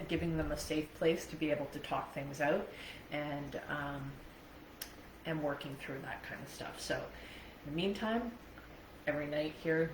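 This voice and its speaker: A middle-aged Canadian woman chatting casually and digressively on a livestream, with a self-amused, rambling manner. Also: low-pitched. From Ontario, Canada. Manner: chatty, laughs readily at herself, digressive. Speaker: host, trauma coach livestreamer. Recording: echoey room with faint background noise.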